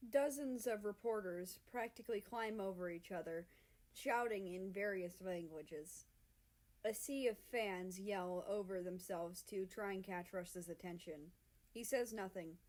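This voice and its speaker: A woman with a mid-pitched voice narrates a story. She reads from a written text as a fiction reader. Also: darkish tone.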